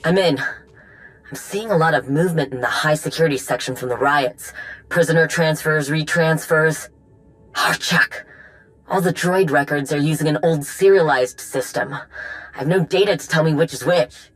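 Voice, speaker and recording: A woman's voice: low-pitched, normal speed, lightly acted, rough recording. A woman playing a resourceful, determined tech specialist in a video game, speaking in a casual, practical way. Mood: focused.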